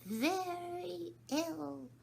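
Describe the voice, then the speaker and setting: A woman voicing a sleepy, feeble little dormouse with a hoarse, thin voice and a drowsy, sulky manner. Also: very high-pitched, drawn-out words, slight background noise, voice pushed up, full character voice.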